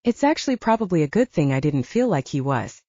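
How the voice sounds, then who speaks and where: A woman's voice: deep voice, big pitch swings, fast, warm tone, no room sound, highs cut off. A woman voicing an easygoing, flirtatious male lover, teasing and unbothered, in an audiobook. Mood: casual.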